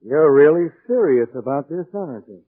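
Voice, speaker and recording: An American actor playing a big, seasoned, skeptical but good-natured mining operator in a 1940s radio drama. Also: male voice, a little high, expressive, moderate pace. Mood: wary, probing. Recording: tinny narrow-band 1940s radio recording.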